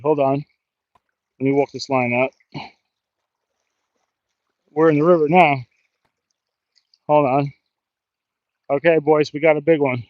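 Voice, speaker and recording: American male live streamer, slightly high voice, rambling and self-mocking, dry but muffled lo-fi outdoor recording. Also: no room sound. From New Jersey, United States. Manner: rambling, self-deprecating, joking. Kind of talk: casual live stream chat. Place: outdoors in a river in a park.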